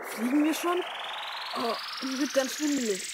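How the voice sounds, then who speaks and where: A woman playing a curious, excitable twelve-year-old boy in a children's audio drama, wide-eyed and eager. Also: high-pitched, measured pace, heavy background noise. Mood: uneasy. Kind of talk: 1970s German children's audio drama acting.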